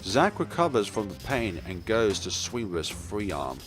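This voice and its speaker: Male let's player, lowish voice, very lively delivery, narrates and comments casually over game music. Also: moderate pace. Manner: expressive, acts out dialogue, chuckles and stumbles over words. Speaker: let's play host. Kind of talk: reading a visual novel aloud with casual commentary.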